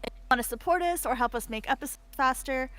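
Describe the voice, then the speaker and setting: Woman streamer, voice on the higher side, chatting casually with viewers. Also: fast talker, bright, forceful tone, low-quality recording. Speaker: stream host. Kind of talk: casual livestream chat.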